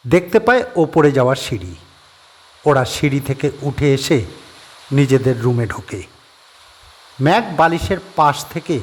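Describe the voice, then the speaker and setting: A man narrating a horror audio story in a steady, measured storytelling voice. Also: medium pitch, husky.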